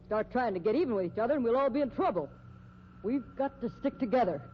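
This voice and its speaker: Actress as lively, bossy carnival woman. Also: fairly high pitch, quick pace, natural voice. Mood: commanding. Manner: scolding, commanding. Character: bossy, hard-edged carnival woman keeping order. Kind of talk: 1950s radio drama acting. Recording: dull, noisy 1950s radio recording.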